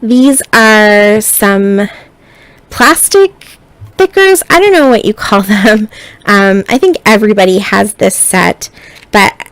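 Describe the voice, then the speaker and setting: A woman narrating a scrapbooking tutorial in a relaxed, chatty way, sounding a little stuffy as she gets over being sick. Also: mid-range voice, slow, no room sound. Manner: relaxed, chatty step-by-step explaining. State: stuffy, recovering from illness. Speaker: scrapbooking designer.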